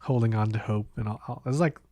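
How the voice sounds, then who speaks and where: A man's voice: lowish voice, fast talker, mellow, no room sound. Man chatting casually, close and dry. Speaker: podcast host. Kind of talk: casual podcast chat about games.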